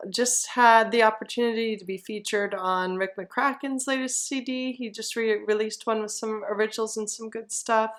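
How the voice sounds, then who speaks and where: A woman's voice: mid-range voice, fairly bright tone. A woman musician speaking as a guest in a casual, conversational podcast interview.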